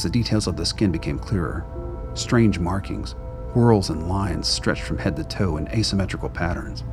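Narrator for radio drama: man, very low voice, dramatic scripted read over a music bed.

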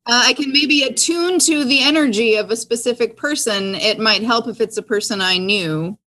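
A woman improvising in character on a tabletop roleplay podcast, speaking in a measured, somewhat formal manner. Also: on the high side, bright, forceful tone, rough recording. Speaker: player in an actual-play audio drama podcast.

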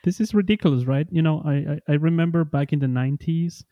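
A man in his forties hosting a podcast, speaking English as a second language, enthusiastic and halting with frequent restarts. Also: a little high, warm tone, no room sound, muffled recording. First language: not English. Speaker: podcast host. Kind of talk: casual interview chat.

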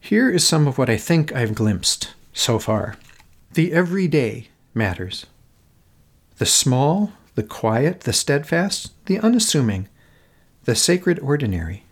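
A middle-aged man, narrating a personal essay as a podcast host in an earnest, contemplative manner with deliberate, short phrases. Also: medium pitch, very animated. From Minnesota, United States.

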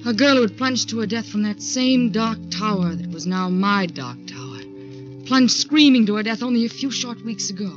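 A woman's voice: mid-range voice, animated, moderate pace, lightly acted. An actress with an American accent playing an anxious young career woman in a dramatic 1940s radio thriller. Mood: haunted, brooding. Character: anxious young career woman narrating her own haunting. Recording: dull 1940s radio recording with music.

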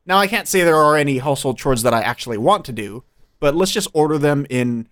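A man's voice: fairly high pitch, lively intonation, quick pace, a bit bright, no echo, clean studio sound. A man in his thirties co-hosting a podcast, joking and teasing in casual chat. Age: 30s. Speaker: podcast co-host.

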